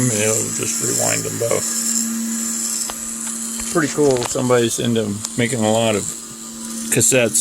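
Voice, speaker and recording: A man with a lowish, heavily creaky voice talks casually through a hands-on demonstration of some equipment. He is plain-spoken and unscripted, with fan and motor noise clearly audible behind him. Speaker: audio repair hobbyist demonstrating equipment. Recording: noisy recording with clear machine hum. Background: loud cooling fan and motor noise.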